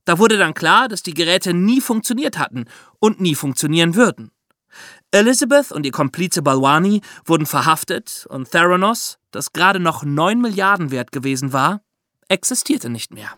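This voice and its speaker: Young man, high-pitched and dry-recorded, scripted podcast narrator. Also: expressive, normal speed, bright, forceful tone, no room sound. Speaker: podcast co-host.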